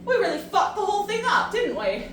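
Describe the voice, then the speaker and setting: A woman playing an earnest, disillusioned human pleading in an audio drama, halting and emphatic in her delivery. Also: very high-pitched, big pitch swings, fairly bright tone, exaggerated voice. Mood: weary, impassioned. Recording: very echoey, distant, noisy ambience.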